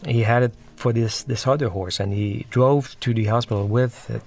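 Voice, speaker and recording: A man's voice: mid-range voice, fairly even intonation, moderate pace, a little mellow. A man giving casual, reflective commentary on a film he made, explaining and rephrasing as he goes, with the film's soundtrack underneath. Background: film music and dialogue.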